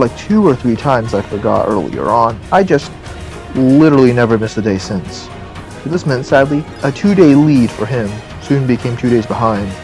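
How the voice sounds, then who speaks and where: A man's voice: medium pitch, gravelly, a little mellow. A young man chatting in a casual vlog, rambling with joking, self-deprecating asides. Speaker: YouTuber.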